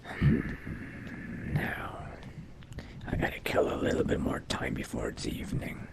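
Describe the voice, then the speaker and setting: A man voicing a pensive, solitary vampire adventurer in a game roleplay, musing to himself in a breathy, gravelly half-whisper. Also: measured pace, close to an everyday voice. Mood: musing, subdued. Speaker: player role-playing in a video game. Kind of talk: in-character game roleplay monologue.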